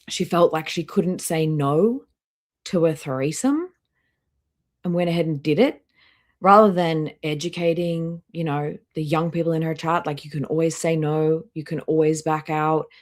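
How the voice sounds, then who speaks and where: A woman's voice: sits low, darkish tone. A woman live streamer giving casual commentary and reading out chat, with a disapproving, dryly sarcastic manner.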